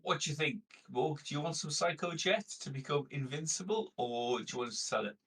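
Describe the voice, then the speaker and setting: Husky-voiced man at a gaming table. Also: fairly high pitch, fairly quick, a bit bright. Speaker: tabletop game player. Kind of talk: casual game-table chat and haggling.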